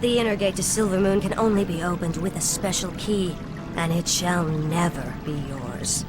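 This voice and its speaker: An actress playing a proud, defiant elf ranger general in a fantasy video game, with a stern, commanding delivery. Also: female voice, fairly low pitch, normal speed, natural voice. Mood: stern defiance. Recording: loud music behind the voice.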